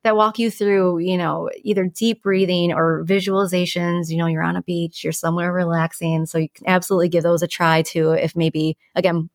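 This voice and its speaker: A woman speaks in a fairly low register, recorded dry with no room sound. She talks as a podcast co-host, offering conversational advice. Her delivery is informal and explanatory, moving through small everyday examples. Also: flat delivery, brisk, hardly any pauses, no room sound. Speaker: podcast co-host giving sleep tips.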